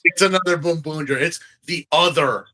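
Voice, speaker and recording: A man hosting a casual podcast review, animated and slangy, repeating phrases for emphasis. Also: on the high side, normal speed, low-quality recording. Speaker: podcast host.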